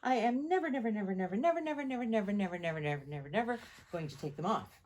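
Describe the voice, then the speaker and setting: A woman voicing an excitable, stubborn little girl from a storybook, exclaiming and repeating words emphatically. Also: mid-range voice, very fast, lightly acted. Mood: gleefully defiant.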